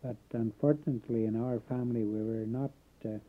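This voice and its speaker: A man born in Canada recalling his Welsh community in a halting oral history interview, pausing often. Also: medium pitch, steady tone. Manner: halting, many filler pauses. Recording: tinny phone-like old tape.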